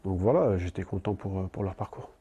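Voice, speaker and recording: A footballer answering interview questions in a calm, plain-spoken way. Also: male voice, deep voice, a little fast, breathy edge, lo-fi sound.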